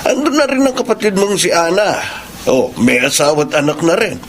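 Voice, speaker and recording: Stern Filipino father, middle-aged, radio drama: rather high, lively intonation, scolding. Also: a little fast, slight background noise. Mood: dismissive. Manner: scolding, dismissive. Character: stern, reproachful father of a grown daughter.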